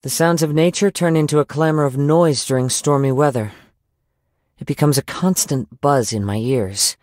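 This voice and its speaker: A young man playing a gentle, poetic wandering samurai in a video game, soft-spoken and reflective, with formal, measured phrasing. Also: a little high, no echo, studio quality, lightly acted. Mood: serene, reflective. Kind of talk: video game character voice lines.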